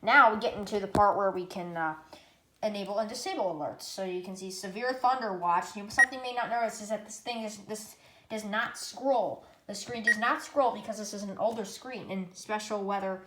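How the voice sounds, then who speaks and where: A woman giving a casual, unscripted gadget demonstration in a rambling, halting way, often correcting herself. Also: mid-range voice. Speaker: product reviewer. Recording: echoey room, loud background noise.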